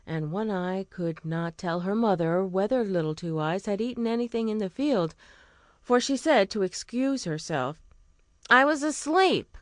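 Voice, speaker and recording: A woman's voice: medium pitch, normal speed, darkish tone, no room sound, studio quality. A woman reading a children's fairy tale as a scripted storyteller.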